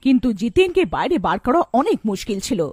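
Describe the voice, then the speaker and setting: A woman reading scripted narration for a children's cartoon story in an animated storytelling style. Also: sits high, fast, no room sound, clean studio sound.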